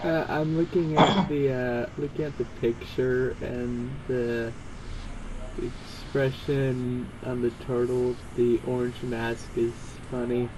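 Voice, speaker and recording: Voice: mid-range voice, flat delivery, slow, crowd noise, low-quality recording. Someone reading fan fiction aloud in a casual, informal way, stumbling over words and correcting themselves.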